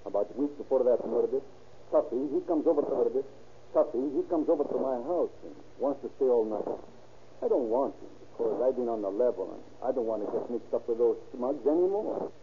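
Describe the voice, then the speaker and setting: A man playing an excitable streetwise crook in broken grammar, protesting his innocence in an old radio crime drama. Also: mid-range voice, brisk, close to an everyday voice. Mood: pleading, exasperated. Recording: tinny, noisy old radio recording.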